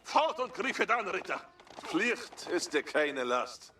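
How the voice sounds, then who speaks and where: Bright, high-pitched medieval knight. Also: male voice, very animated, a little slow, slight background noise, exaggerated voice. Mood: commanding. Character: medieval German knight commander, proud, dutiful, rallying his men. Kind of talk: historical strategy game unit voice lines.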